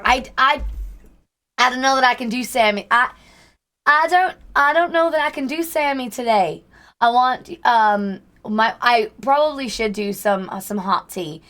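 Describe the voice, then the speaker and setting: Woman, hoarse, fake Southern US accent, chatty livestream talk, raised pitch. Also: unhurried, fairly bright tone. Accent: put-on Southern US. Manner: chatty, greets and thanks chat by name. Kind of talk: casual livestream chat with viewers.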